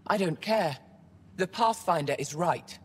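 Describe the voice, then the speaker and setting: A woman's voice: on the low side, lively intonation, a little slow, husky, long reverb tail. An actress playing a dignified older female alien scholar in a video game, speaking formally and with authority. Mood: indignant, commanding. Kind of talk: dramatic video game acting.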